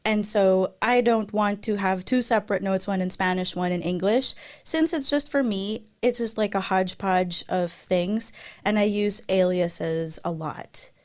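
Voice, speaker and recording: A woman's voice: mid-range voice, a little slow. A woman in her thirties, a native Tagalog speaker, answering audience questions casually on a video call with lots of fillers. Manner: casual and chatty, many fillers and restarts. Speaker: guest speaker. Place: indoor room. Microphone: webcam video call.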